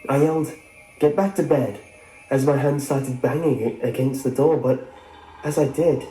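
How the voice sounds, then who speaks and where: Mellow man narrating a horror story. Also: medium pitch, fairly even intonation, normal speed. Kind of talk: scripted first-person horror narration. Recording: roomy sound with music behind. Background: background music.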